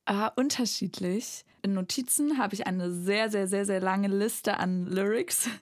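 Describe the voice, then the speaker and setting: A woman's voice: medium pitch, unhurried, no echo, studio quality. A woman, chatting casually and enthusiastically as an interview guest, with youthful slang and English words mixed in. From Erfurt, Germany. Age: early 20s. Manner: enthusiastic, emphatic repetition. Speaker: singer-songwriter guest.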